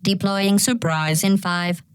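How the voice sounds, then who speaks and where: Passive-aggressive evil computer lady for a game, robotic effect, super smooth, bone dry sound, deadpan. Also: mid-range voice, slow, no echo. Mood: sardonic, condescending. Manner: deadpan, mock-polite, sardonic. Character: menacing passive-aggressive female AI running a test facility. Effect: synthetic robotic voice processing. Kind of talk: scripted video game character dialogue.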